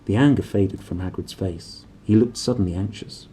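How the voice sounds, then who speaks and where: A man reading a storybook aloud as an expressive narrator. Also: fairly low pitch, moderate pace, a little hiss or hum. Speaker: audiobook reader.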